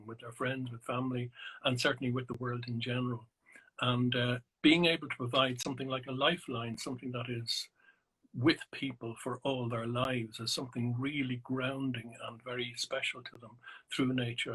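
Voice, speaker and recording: A middle-aged Irish man talks slowly and reflectively over a video call, pausing often with ums and restarting his sentences. His voice is bright and forward, with a husky, slightly breathy grain. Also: medium pitch, low-quality recording. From Ireland. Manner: thoughtful, hesitant, many ums and restarts. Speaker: psychotherapist and naturalist guest. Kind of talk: reflective interview answers.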